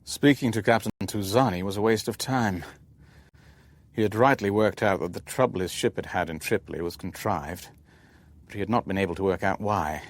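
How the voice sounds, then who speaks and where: An actor playing a weary, shrewd shipping owner in a radio drama, speaking in a measured, clipped and deliberate way. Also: male voice, fairly low pitch. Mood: grave, reflective. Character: weary, shrewd shipping owner narrating his own spy ordeal. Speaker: narrator and lead character. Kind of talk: radio drama, first-person narration and dialogue.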